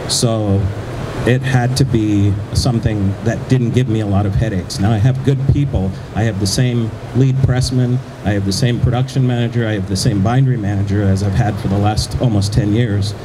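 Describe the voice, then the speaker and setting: A middle-aged man with a fairly low voice talks as a business owner on a panel. His delivery is conversational and halting. He holds a microphone on a busy exhibition floor with clear background noise. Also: steady tone. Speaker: panelist, print shop owner. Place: large indoor exhibition hall. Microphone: handheld microphone. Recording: noisy trade show floor, ventilation hum.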